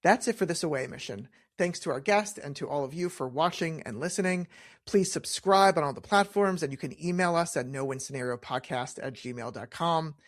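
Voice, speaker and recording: Higher-voiced man hosting, very dry sound. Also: fairly quick, dry recording. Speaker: podcast host. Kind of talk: casual interview chat.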